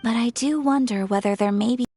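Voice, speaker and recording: Polite formal game noblewoman, slightly high, kinda breathy. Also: brisk, warm tone, dry recording, clean studio sound, soft background music. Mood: calm formal. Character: young noblewoman, composed and courteous. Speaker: noble of a ceremonial commission. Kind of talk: video game voice acting.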